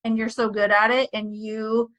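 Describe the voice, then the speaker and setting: A woman's voice: fairly high pitch, level tone, moderate pace, a bit bright. A woman hosting a podcast, talking casually and gushing with praise for her guest. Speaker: podcast host.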